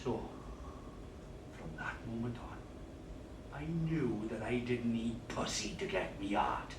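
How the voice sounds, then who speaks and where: An actor playing a volatile, violent jilted man. He swears heavily and swings from hushed confiding to roaring rage. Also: fairly high pitch, lively intonation, normal speed, heavy background noise, lightly acted. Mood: bitter. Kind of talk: theatrical monologue. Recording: echoey room, distant mic, music behind.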